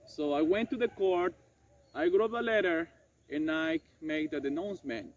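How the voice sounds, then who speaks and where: A man playing a regretful French doctor and former prisoner in an amateur dramatized monologue, speaking haltingly and correcting himself. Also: fairly high pitch, lightly acted. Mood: solemn recounting. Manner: halting, hesitant, self-correcting delivery. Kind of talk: amateur dramatized monologue retelling past events. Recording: dull lo-fi sound, faint noise.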